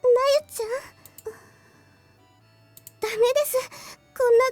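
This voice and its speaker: A woman's voice: very high voice, steady tone, a little fast, a little mellow, dry recording. A woman voicing a shy, polite schoolgirl in a visual novel, hesitant and easily flustered. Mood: bashful. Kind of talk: Japanese visual novel voice acting. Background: video game music.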